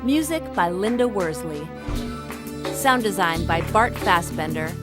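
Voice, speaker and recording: A woman's voice: on the low side, expressive, a little slow. A woman announcer reading scripted credits for an audio drama. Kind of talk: scripted announcement over theme music. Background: music.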